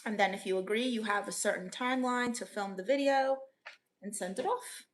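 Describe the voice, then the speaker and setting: A woman's voice: mid-range voice, a little fast. A young woman from Orlando, Florida, chatting casually with viewers as livestream host, upbeat and laughing often. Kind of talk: casual chat reading viewer comments.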